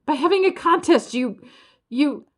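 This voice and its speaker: Lady doing a weepy hyena ant guy from a kids storybook, high wailing voice, super swoopy, always upset. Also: expressive, unhurried. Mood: sobbing, eager. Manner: wailing, sobbing, repetitive. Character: weepy hyena-ant creature, male, fretful and easily upset. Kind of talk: children's storybook character voice.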